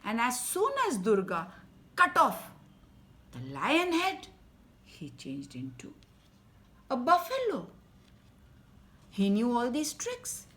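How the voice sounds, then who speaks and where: A woman's voice: a little high, huge pitch swings, slow, long pauses. Indian woman telling a dramatic kids' story. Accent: Indian English. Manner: dramatic, swings between loud emphasis and soft whispers. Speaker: storyteller for children. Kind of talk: expressive bedtime story reading.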